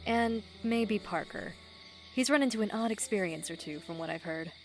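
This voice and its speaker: A woman's voice: medium pitch, normal speed, close to an everyday voice, rough recording. A weary police detective played by a woman in an audio drama. She is dry, no-nonsense and businesslike in an ordinary mid-range voice. Faint music sits under her words. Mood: baffled, firm.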